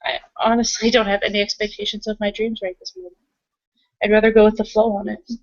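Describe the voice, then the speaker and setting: A woman's voice: medium pitch, little pitch movement, fast, no room sound, muffled recording. A woman playing in an improvised tabletop roleplay, speaking in character and narrating in a casual, understated way. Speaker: roleplay player.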